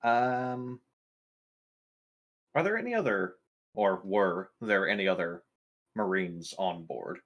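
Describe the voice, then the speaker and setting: A man plays a tabletop role-playing game. His voice sits fairly low but swings around in pitch as he chats casually and speaks for his character. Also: expressive, slow pace, long pauses, a bit bright, muffled recording.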